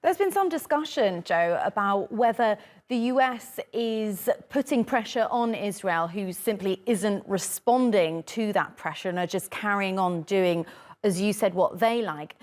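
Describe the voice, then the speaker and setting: A woman presents the news and questions a guest in a broadcast interview. Her voice sits at a medium pitch. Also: animated, unhurried.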